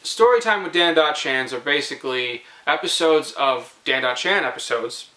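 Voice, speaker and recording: Young male vlogger, playful and rambling storyteller, forceful bright tone, roomy echo. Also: a little high, animated, normal speed. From Canada. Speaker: host of a storytelling video series. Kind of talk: casual comic storytelling to viewers.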